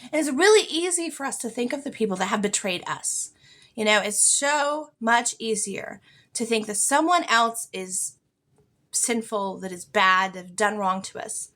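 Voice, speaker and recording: A woman's voice: fairly high pitch, moderate pace, fairly bright tone. An American woman giving an informal, reflective spiritual monologue as a podcast host, speaking earnestly and leaning on key words.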